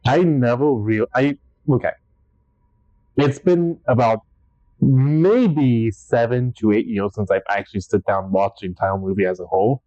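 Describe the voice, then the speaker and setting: A man's voice: medium pitch, a little slow, dark tone, dry recording. A young man co-hosting a casual podcast chat, easygoing and a bit hesitant. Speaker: podcast co-host. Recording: dry, dull lo-fi sound.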